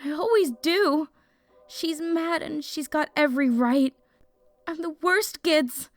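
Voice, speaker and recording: A woman's voice: sits high, takes it slowly. A woman playing a fiery, distraught young renegade in an emotional fantasy audio drama. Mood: self-loathing, despairing.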